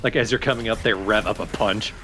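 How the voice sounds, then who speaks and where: A man's voice: lowish voice, steady tone, fairly quick, fairly bright tone, soft background music. A man co-commentating a video game with casual, joking banter. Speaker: co-commentator on a let's play.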